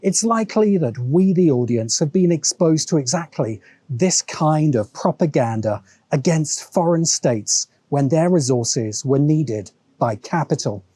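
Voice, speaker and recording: A British man narrating a scripted video essay in a measured, emphatic, deliberate way. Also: fairly high pitch, animated, dark tone, dry recording. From Britain. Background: background music.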